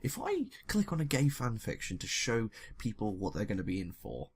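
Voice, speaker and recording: A man's voice: on the low side, huge pitch swings, normal speed, breathy edge. A young man reading a story casually, with sweary, self-deprecating joking asides. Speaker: YouTuber reading fan fiction.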